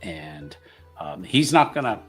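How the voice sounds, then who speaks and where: A man's voice: deep voice, very animated, takes it slowly, a bit bright, slightly rough, soft background music. A man chatting casually at a tabletop roleplaying game. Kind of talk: casual group chat.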